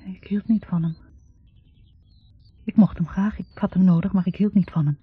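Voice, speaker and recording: Young intellectual woman, very breathy husky voice, bitter and conflicted, halting confessions, 1960s radio play, muffled recording. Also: medium pitch, steady tone, no echo, faint music behind. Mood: bitter, torn. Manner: halting, confessional, repeating words. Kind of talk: 1960s radio drama acting.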